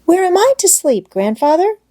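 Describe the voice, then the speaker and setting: Woman doing a cheerful little storybook girl, high voice, eager n innocent, kinda childlike. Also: sing-song, normal speed, warm tone. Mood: curious. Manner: eager, childlike, plain-spoken. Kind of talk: storybook read-aloud character voice.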